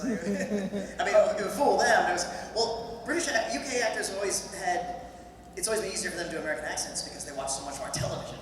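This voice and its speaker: A middle-aged man moderating a convention panel in casual interview style, upbeat with quick agreeing replies. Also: sits high. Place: large convention hall stage. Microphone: handheld mic heard through hall speakers. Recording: echoey hall, distant, crowd noise.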